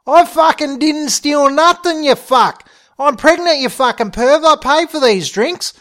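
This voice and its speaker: A man voicing a brash, foul-mouthed pregnant Australian woman in a comic audio story, shrill and indignant. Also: normal speed. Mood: yelling, outraged.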